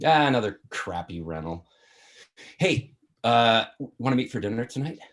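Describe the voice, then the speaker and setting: A man's voice: mid-range voice, sing-song, moderate pace, lightly acted. An older man playing a flippant, much-married sitcom father who needles his grown son in a conversational, teasing way over a video call. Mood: casual. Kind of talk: sitcom table read.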